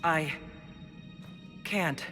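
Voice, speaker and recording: Earnest dwarf prisoner in long reverb. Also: female voice, low voice, very slow. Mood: defensive, distressed. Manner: earnest, questioning. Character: bewildered female dwarf prisoner protesting her innocence. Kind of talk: dramatic video game voice acting. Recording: long hall reverb, music behind.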